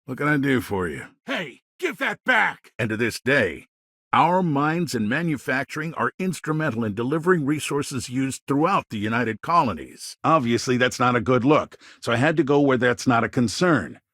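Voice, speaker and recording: A man playing a smooth-talking, self-important middle-aged colonial governor in a video game, with polished politician's phrasing and a husky voice. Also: medium pitch, very animated, moderate pace, a bit bright, dry recording, studio quality. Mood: alarmed. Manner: polished politician's talk, veiled threats. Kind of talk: game dialogue voice lines.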